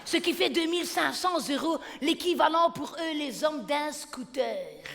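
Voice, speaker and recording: An energetic woman in her thirties, from Belgium, performing stand-up on a large stage. She talks in a punchy way and slips into the voices of her relatives. Her voice is high, and the room adds a long reverb. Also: a little slow, creaky, noisy background. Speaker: stand-up comedian. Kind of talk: stand-up comedy.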